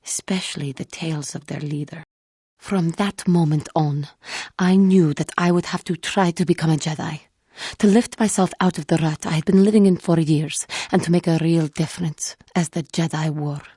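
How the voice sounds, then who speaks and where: An actress voicing a solemn, wounded young feline alien Jedi woman in a video game, speaking in a measured, formal, guarded way. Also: low voice, fairly even intonation, dark tone, husky, dry recording, studio quality. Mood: wistful, guarded, then earnest, hopeful. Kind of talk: video game character dialogue.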